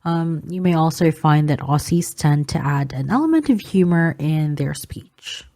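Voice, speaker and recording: A woman from the Philippines whose first language is Filipino, calmly explaining cultural differences in a vlog with frequent "um" fillers. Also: deep voice, a little slow. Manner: calm, informative, frequent "um" fillers. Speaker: vlogger.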